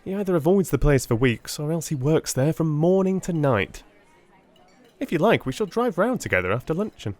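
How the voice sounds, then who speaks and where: A man voicing a hesitant, gossipy young gentleman with emphatic, animated delivery in a dramatised Victorian audiobook. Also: on the high side, normal speed, pitched above the performer's own voice. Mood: helpful.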